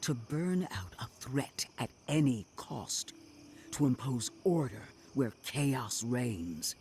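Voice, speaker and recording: A stern, menacing military commander, swooping delivery. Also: male voice, mid-range voice, animated, slow pace, a little mellow, slight background noise. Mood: scornful. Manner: formal, menacing, oratorical. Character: stern, contemptuous male military commander, threatening and self-righteous. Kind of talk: dramatic game cutscene acting.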